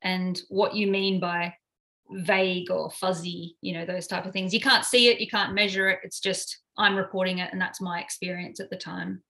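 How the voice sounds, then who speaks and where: A woman's voice: lowish voice, fairly even intonation, normal speed, pushed and bright. A woman in her thirties, a paramedic, recounting her story in an interview with frequent hesitations and fillers.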